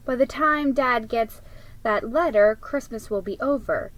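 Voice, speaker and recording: A know-it-all older sister from a storybook, voiced by a woman. She lectures patiently and very slowly in a highish girl's voice. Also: fairly bright tone, rough recording. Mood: patiently lecturing.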